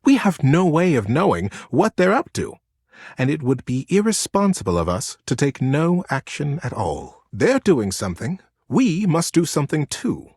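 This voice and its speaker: A man plays an old matriarch of storybook animals, grave and burdened by leadership. The pitch is lifted and swoops widely, and the speech is deliberate, weighty and full of authority. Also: very animated, darkish tone, husky, no echo, studio quality, exaggerated voice. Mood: grave, commanding.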